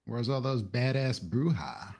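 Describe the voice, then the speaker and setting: A man's voice: medium pitch, expressive, measured pace, darkish tone, slightly rough. A man playing in a tabletop roleplay game, improvising in-character talk and describing his moves.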